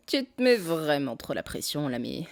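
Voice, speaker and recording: A woman playing a whiny, indignant young retail worker and internet geek in an animated comedy dub, with exaggerated delivery. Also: low-pitched, heavy background noise, heavily acted. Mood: casual, reassuring.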